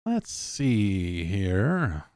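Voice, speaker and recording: A man with a lowish voice hosts a solo podcast. He rambles casually, thinking out loud and swearing freely as he works through listener questions. The sound is close and dry, with little room to it. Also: sing-song, very slow, no echo. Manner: profane, informal, thinking aloud. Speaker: podcast host.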